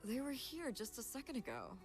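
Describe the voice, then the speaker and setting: A woman's voice: high-pitched, wildly swooping pitch, a little fast, faint music behind. A woman playing a blunt, unimpressed young cook with an offhand manner.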